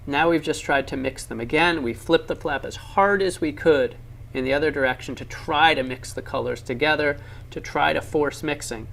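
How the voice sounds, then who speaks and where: A man's voice: fairly high pitch, moderate pace, gravelly, a bit bright. A man narrating laboratory science demonstrations for an educational film, explaining with enthusiasm and emphasis.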